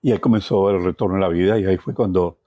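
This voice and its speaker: A man with a Colombian accent recounts his own life in an expressive, reflective way, repeating phrases for emphasis. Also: sits low, rapid-fire. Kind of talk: personal oral storytelling.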